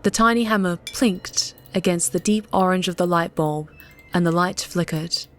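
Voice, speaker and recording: A woman narrates an audiobook in a dramatic, scripted style. Her voice sits a little low, and music plays behind her. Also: normal speed.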